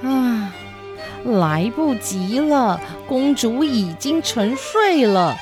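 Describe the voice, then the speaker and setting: A woman voicing a brooding dark witch, hurt but reasonable, with a measured, resigned manner in a children's radio play. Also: fairly high pitch, sing-song, over music, voice pushed up, exaggerated voice. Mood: grave.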